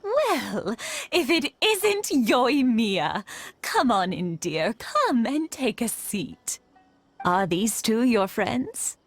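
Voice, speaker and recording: A woman voicing a kindly, frail elderly village wife in a video game, speaking slowly and gently. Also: on the high side, big pitch swings, heavily acted. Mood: welcoming. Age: older. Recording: game soundtrack music behind.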